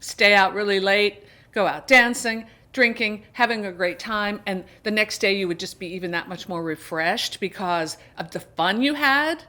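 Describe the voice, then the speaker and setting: A woman's voice: medium pitch, pushed and bright. A middle-aged woman chatting casually to her viewers as a book vlogger, rambling along with emphatic turns of phrase. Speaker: book reviewer and video host.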